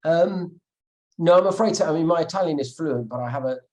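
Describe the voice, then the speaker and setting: A man's voice: fairly high pitch, fairly quick, a little mellow. A man, an author being interviewed, answering thoughtfully with many restarts and fillers. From England.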